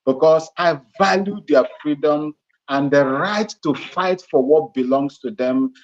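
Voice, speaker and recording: A man talking casually as a guest on a live stream, halting and restarting, with short laughs between phrases. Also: a little high, highs cut off. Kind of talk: casual live call-in talk. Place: Ghana.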